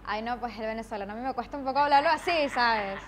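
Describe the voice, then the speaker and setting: A young woman, answering interview questions in a giggly, animated way on a lapel mic. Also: fairly high pitch, fast talker, slight background noise. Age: young adult. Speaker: interview guest. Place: dark studio set, seated.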